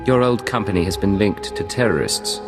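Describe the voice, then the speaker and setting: An actor playing a confident, suave imperial secret agent in a video game, smooth and coolly menacing. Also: male voice, on the low side, brisk, natural voice. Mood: cool, threatening. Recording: game music behind the voice.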